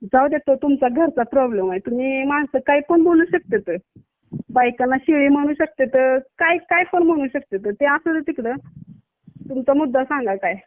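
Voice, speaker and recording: A woman with a high voice and a Maharashtrian Marathi accent speaks over a narrow, tinny phone line. She talks in a blunt, scolding way, as a doctor on a call. The sound is dry, with no room around it. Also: moderate pace, dry recording. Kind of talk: casual phone conversation.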